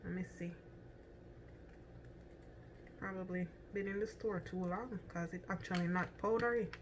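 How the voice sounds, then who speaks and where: A woman's voice: fairly low pitch, fairly even intonation, a little slow, noisy background. A woman with a Jamaican accent chatting casually through a cooking demonstration in her home kitchen, thinking aloud with lots of ums and restarts. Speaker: cooking vlogger.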